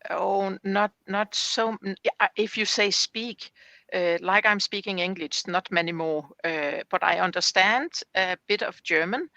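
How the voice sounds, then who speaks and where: A woman from Denmark with a Danish accent, a guest on a video call, speaking careful, halting English with many pauses. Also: medium pitch, pushed and bright, dry recording. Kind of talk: casual video call interview.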